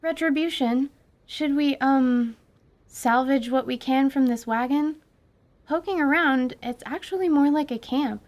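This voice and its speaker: A woman's voice: high voice, measured pace, a little mellow, pitched above the performer's own voice. A woman voicing a careful pale young adventurer, speaking in a hesitant, practical way.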